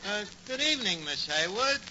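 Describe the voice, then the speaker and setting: Brash high-pitched New York tavern manager. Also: male voice, moderate pace. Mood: scheming. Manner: mangles grammar, mock-formal flattery. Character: brash, malapropping, smooth-talking tavern manager. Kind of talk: 1940s radio comedy acting. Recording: noisy muffled 1940s radio recording.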